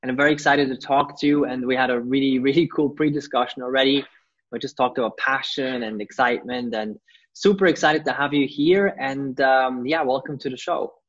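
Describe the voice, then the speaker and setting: A man's voice: mid-range voice, steady tone, fairly quick. A man hosting an interview show, speaking with enthusiasm and often repeating himself. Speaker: podcast host. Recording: dull lo-fi audio.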